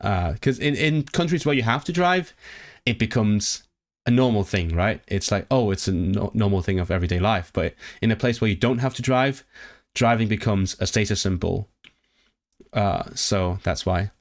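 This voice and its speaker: A man with a fairly low voice, talking casually to his livestream chat. He reads questions aloud and translates them as he goes. He reacts with lively, animated asides, often stumbling and restarting. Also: normal speed.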